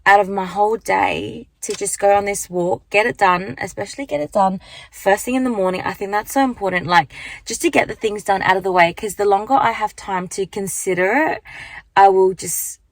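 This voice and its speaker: Chatty young woman with a croaky morning voice. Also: mid-range voice, normal speed, dry recording. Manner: chatty, rambling, lots of fillers. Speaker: vlogger. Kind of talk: casual chat vlog. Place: car at the beach, then walking outdoors. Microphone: handheld phone.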